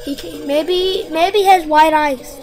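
High-voiced joking woman co-host. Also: steady tone, slow, darkish tone. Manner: joking, rambling, trailing off. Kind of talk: casual gameplay chat. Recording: game music behind. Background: game music.

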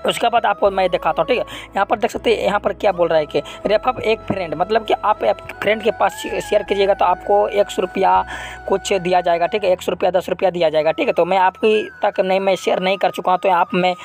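A man's voice: high voice, steady tone, quick pace, creaky, faint music behind. A man hosting an unscripted app tutorial, repeating himself and often adding check-in tags. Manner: repetitive, with frequent check-in tags. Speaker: app tutorial video host. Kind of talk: unscripted screen-recording tutorial.

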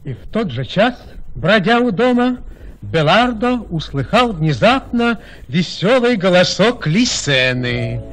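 Lively male radio-play narrator. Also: high voice. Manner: measured storytelling.

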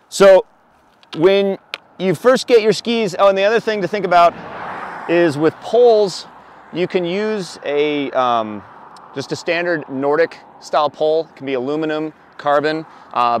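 A man in his thirties, an endurance coach, explaining roller ski gear outdoors by a forest road, relaxed, with frequent fillers. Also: medium pitch, slight background noise. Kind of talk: outdoor equipment tutorial.

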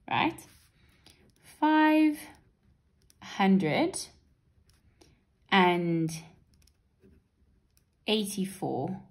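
A woman tutor talks through exam questions step by step at her desk. She sounds chatty and reassuring, often checking in with her students. Her voice sits in a middle pitch. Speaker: maths teacher. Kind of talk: exam paper walkthrough lesson. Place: indoors at a wooden desk.

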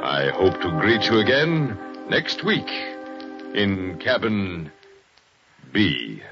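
A man narrating a 1940s radio mystery as its storyteller, smooth and theatrical. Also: sits low, big pitch swings, slow. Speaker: storyteller and announcer. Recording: dull 1940s radio broadcast with music.

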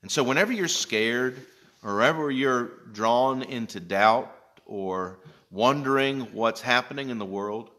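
A man in his thirties, a pastor giving a gentle children's Bible lesson in a church, speaking slowly and checking in with questions, recorded on a close-held phone. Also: mid-range voice. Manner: slow, gentle, explaining step by step with checking questions. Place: church sanctuary, indoors. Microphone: phone camera held close.

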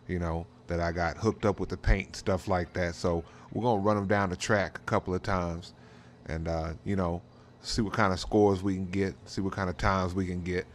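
Deep-voiced man, slangy and rambling. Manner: slangy, rambling, frequent "you know what I'm saying". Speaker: host of a drag racing role-play channel. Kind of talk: casual in-character game role-play commentary. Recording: clear background noise.

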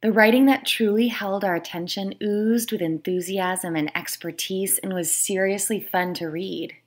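A woman's voice: mid-range voice, steady tone, moderate pace. A woman who is an author, reading her own book aloud as scripted narration.

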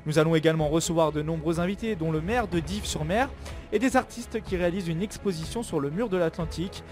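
A young man hosting a live radio show outdoors on a stand mic, announcing briskly with light banter. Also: a little high, darkish tone, soft background music. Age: young adult. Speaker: radio host. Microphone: studio mic on stand with headphones.

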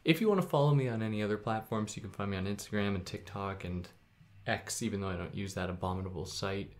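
A young adult man hosting a podcast, explaining philosophy in a relaxed, conversational way with a soothing voice and sudden upward jumps in pitch. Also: fast. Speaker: podcast host. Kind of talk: casual explainer monologue.

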